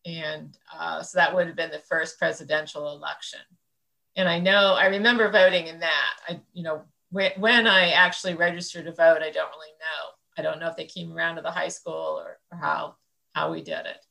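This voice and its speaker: Gravelly, bright-toned woman in her sixties. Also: sits low, fairly even intonation, normal speed, poor audio. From central Illinois, United States. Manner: rambling and thoughtful, with many fillers. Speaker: interview guest. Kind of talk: reflective conversational interview.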